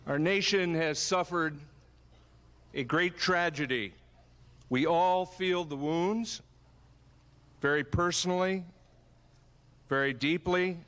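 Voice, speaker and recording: A man giving a solemn memorial address with grave, deliberate phrasing. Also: on the high side, fairly bright tone. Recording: echoing large hall, long reverb.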